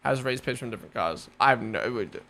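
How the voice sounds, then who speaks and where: A man's voice: mid-range voice, animated, quick pace, vocal fry, a bit bright. Rambling New Zealand-accented young man. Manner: rambling, filler-heavy, swears casually. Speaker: live stream host. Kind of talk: casual live watch-along chat.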